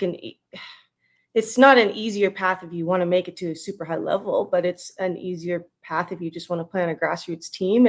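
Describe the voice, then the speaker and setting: A woman in her thirties with a western Canadian accent, speaking as a guest in a casual interview in an informal, rambling way. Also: medium pitch. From Edmonton, Canada. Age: 30s. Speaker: interview guest, retired national team baseball player.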